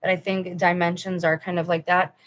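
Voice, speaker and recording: A woman's voice: fairly low pitch, flat delivery, fairly quick. Emphatic woman vlogging. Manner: emphatic, rambling, talks straight to viewers; firm and preachy. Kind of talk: casual monologue.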